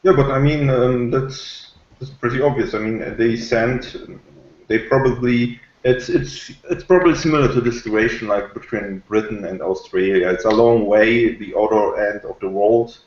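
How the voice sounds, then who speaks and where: A man reviewing on a podcast in casual discussion, talking in a halting, argumentative way. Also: mid-range voice, a little mellow, roomy sound, highs cut off.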